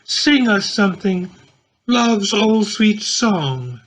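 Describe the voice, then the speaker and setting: A man reading the part of a teasing, smirking young woman in a literary drama, in a husky voice. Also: sits high, sing-song, takes it slowly, fairly bright tone, voice pushed up, heavily acted. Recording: dull lo-fi home recording.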